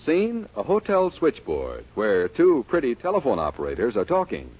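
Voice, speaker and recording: Very animated, husky male radio announcer. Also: medium pitch, normal speed, highs cut off. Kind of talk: 1940s scripted radio announcing. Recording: band-limited 1940s radio recording.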